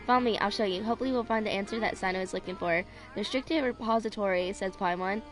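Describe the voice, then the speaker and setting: A woman in her twenties on a casual game livestream, chatting and reading dialogue aloud in a halting, rambling way. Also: medium pitch, soft background music, some chatter behind. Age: 20s. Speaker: game streamer.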